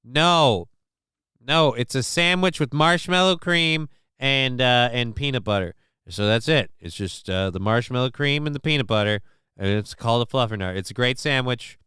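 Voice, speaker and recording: A man's voice: mid-range voice, normal speed, sharp tone, clean tone, no room sound, studio quality. A man from Minnesota hosting a casual live show, rambling and swearing while he answers the chat, with lots of restarts and fillers.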